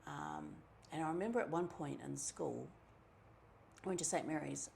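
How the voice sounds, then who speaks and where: A middle-aged woman with a New Zealand accent tells her story in a low, gravelly voice. She talks in a relaxed, chatty way, full of ums and quoted asides. A faint hiss of noise sits under her voice. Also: moderate pace. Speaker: community member telling her story. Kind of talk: casual personal storytelling.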